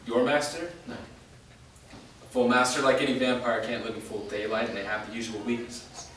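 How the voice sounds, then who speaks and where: A man playing a wounded, resolute part-vampire fighter in a staged reading, speaking in a serious, measured, explanatory way. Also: mid-range voice, steady tone, a bit bright, lightly acted. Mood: grave, resolute. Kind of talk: staged reading of a fantasy drama. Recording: echoey room, distant mic, faint noise.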